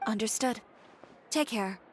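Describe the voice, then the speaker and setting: An actress playing a proud, strong-willed fashion designer in a video game, speaking with composed assertiveness. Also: female voice, on the high side, unhurried, a little hiss or hum, lightly acted. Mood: grave, resigned.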